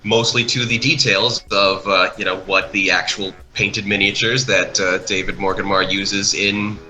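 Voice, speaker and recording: A man's voice: lowish voice, fairly even intonation, a little slow, sharp tone, poor audio. A man giving casual, unscripted commentary, often trailing off and rephrasing mid-thought. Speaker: animation project creator co-hosting a speedpaint commentary. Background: background music.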